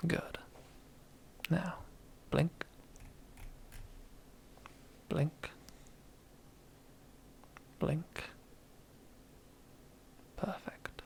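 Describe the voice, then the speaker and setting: A woman's voice: sits low, gravelly, dark tone, heavily acted. A woman playing a domineering, teasing female boss in an ASMR roleplay, half-whispering in a seductive, commanding way. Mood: controlling, soft. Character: domineering, teasing female boss relishing power over old rival.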